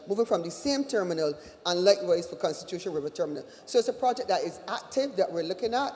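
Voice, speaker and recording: Barbadian woman official, brisk explanatory answer, mid pitch, reverberant hall sound. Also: darkish tone. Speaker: official presenting at a public consultation. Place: large indoor meeting hall.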